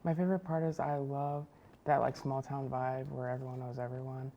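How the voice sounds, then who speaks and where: A young woman student journalist, speaking softly in a casual interview and letting her phrases trail off quietly. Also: very deep voice, flat delivery, a little fast, lo-fi sound, a little hiss or hum. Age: young adult. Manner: soft-spoken, trailing off quietly.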